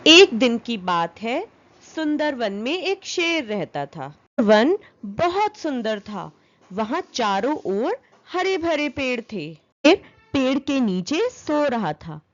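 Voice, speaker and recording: A woman narrating a children's story as an expressive storyteller. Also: sits high, slow, muffled recording.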